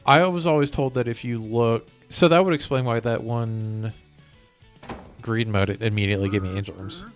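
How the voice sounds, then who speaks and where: Man, mid-pitched voice, casual live-stream chat, wandering delivery, light background music. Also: normal speed. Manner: rambling, hops between thoughts. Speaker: game streamer.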